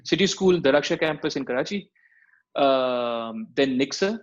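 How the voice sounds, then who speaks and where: A man with a Pakistani accent, a podcast guest on a video call, joking and talking in rapid mixed English and Urdu over a headset. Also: medium pitch, lively intonation. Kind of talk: casual video-call interview. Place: room at home, indoors. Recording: dull lo-fi call audio.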